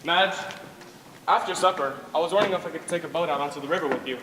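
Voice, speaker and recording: A young man acting an earnest, smitten college boy in a high school stage play. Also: fast talker, lightly acted. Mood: romantic. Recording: echoey hall, distant mic, noisy.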